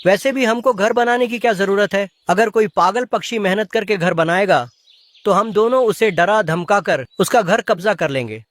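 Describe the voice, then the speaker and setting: An actor plays a lazy male crow from a children's cartoon, a bullying husband. The voice sits at a middling pitch, with a smug and menacing way of talking. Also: normal speed, no room sound, lightly acted. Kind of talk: Hindi children's cartoon character voice.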